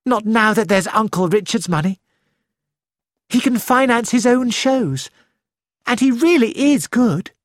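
A woman's voice: medium pitch, no echo, clean studio sound. A woman reading the part of a cheerful, frank young actress who speaks breezily and bluntly. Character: flighty, self-assured young actress wife.

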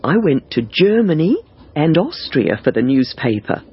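A man reading a first-person life story slowly and carefully for language learners, on a dull lo-fi recording. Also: a little high, very animated. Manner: slow, careful, clearly paced reading. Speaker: audiobook reader.

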